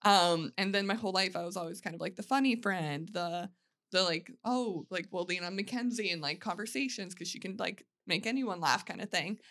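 A woman's voice: fairly low pitch, a little fast, fairly bright tone. A young adult woman in casual podcast conversation as a guest, speaking with a wry, bantering manner. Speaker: podcast guest, comedian and producer. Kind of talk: casual chat.

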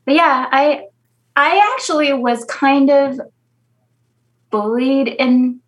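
A woman's voice: high voice, slow, poor audio. Chatty young woman from the Philippines. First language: Filipino. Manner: candid, chatty, laughs often. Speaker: guest. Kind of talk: casual interview conversation.

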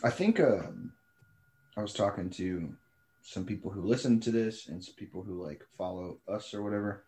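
A young man co-hosting a podcast, telling rambling stories full of fillers and deadpan jokes. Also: fairly low pitch, moderate pace. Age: young adult. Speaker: podcast co-host. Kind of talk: casual chat.

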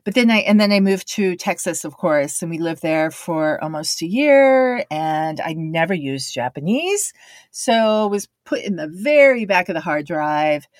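Woman musing about her life, slow and unhurried, lots of um and you know, lowish voice. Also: expressive, no room sound, studio quality. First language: English. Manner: reflective, meandering, many fillers and restarts. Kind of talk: casual personal storytelling.

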